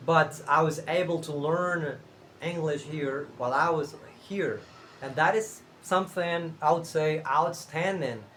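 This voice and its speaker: A young man from Cuba with a Cuban Spanish accent, Spanish his first language, talking casually to camera as a channel host, unhurried and thinking aloud with fillers and restarts. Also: on the high side, a bit bright, slight background noise.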